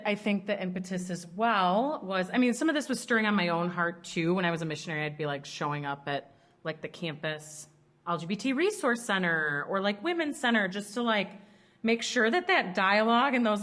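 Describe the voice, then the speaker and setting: A woman's voice: mid-range voice, animated, a little fast, a bit bright. A young woman from a Chicago suburb with a strong American Midwest accent, chatting casually as an interview guest. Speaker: podcast guest, ministry co-founder. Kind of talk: casual conversational interview answers.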